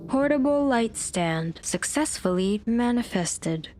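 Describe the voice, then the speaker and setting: A woman's voice: a little high, animated, a little mellow, faint music behind. A woman voicing a polite, impassive helmet computer that gives formulaic announcements in an audio drama. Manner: formulaic, measured system announcements.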